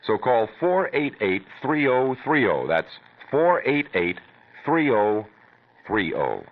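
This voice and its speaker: Persuasive man reading a radio ad. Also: big pitch swings. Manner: persuasive sales pitch, slowing down for numbers. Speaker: commercial announcer. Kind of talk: radio advertisement. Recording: tinny old radio broadcast.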